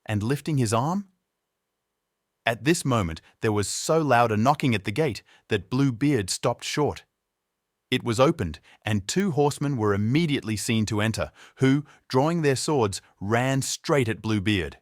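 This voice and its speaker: Animated male storyteller, dry sound. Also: medium pitch, a little slow, no echo. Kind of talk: scripted fairy tale narration.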